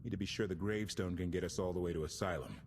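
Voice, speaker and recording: An actor playing an earnest, wary rebel crew captain in a game, informal and emphatic. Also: male voice, low-pitched, racing, noisy background. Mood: sheepish, determined. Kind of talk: video game cutscene acting.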